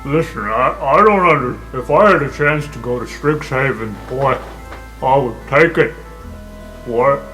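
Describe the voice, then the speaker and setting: A man voicing a gruff, suspicious, non-human palace cook who scolds bluntly in a fantasy tabletop game. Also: medium pitch, a little slow, pushed and bright, soft background music, low-quality recording. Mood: suspicious, offended.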